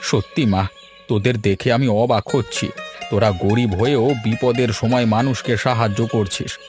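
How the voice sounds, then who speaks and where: A man's voice: medium pitch, fairly even intonation, normal speed, over music, close to an everyday voice. A man voicing a meek, kindly family man in a moral-tale cartoon, earnest and a little hesitant. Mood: contrite, earnest.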